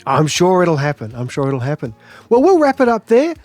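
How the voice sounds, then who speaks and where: An Australian man hosts a studio interview, friendly and chatty with frequent backchannels. His pitch swings widely through his questions. The recording is close and dry, with no room sound. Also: wildly swooping pitch, brisk, a little mellow, no room sound, faint music behind. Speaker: podcast host. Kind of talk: casual interview chat. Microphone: studio microphone.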